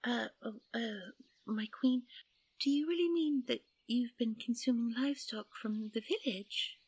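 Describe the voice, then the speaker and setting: A woman playing a gentle, refined and easily flustered fairy princess in a fantasy audio roleplay, polite and soft-spoken. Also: on the high side, unhurried, no echo. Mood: nervous. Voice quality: breathy, soft. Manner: genteel, polite, stammering when nervous.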